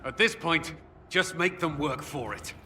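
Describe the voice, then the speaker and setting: An actor playing a grim military commander in a video game, urgent and commanding with clipped delivery. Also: male voice, fairly high pitch, measured pace, bright, forceful tone, big-room sound, a little hiss or hum. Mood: grave, urgent. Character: grim military commander of a besieged army, man. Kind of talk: dramatic video game acting.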